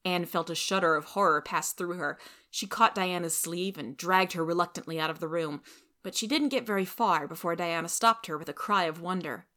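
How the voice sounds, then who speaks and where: A woman's voice: fairly low pitch, moderate pace, bright, forceful tone. A woman reading scripted storybook narration expressively, as a podcast story reader.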